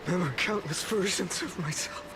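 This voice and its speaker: An actor voicing a weary, burdened male hero in a video game, speaking solemnly and haltingly. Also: high voice, natural voice. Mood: grim resolve. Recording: game audio under loud music.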